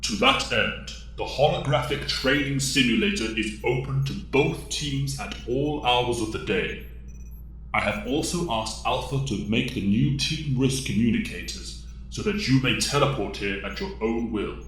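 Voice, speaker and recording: Male actor as team mentor, medium pitch, formal, authoritative, measured, audio drama, echoey room. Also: a bit bright, lightly acted. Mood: instructive.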